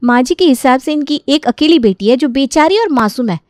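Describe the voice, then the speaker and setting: A woman's voice: sits high, lively intonation, brisk, a little mellow, no room sound, clean studio sound. A woman voicing a meek, overworked young daughter-in-law who pleads and complains in a dramatised story. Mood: resentful, complaining.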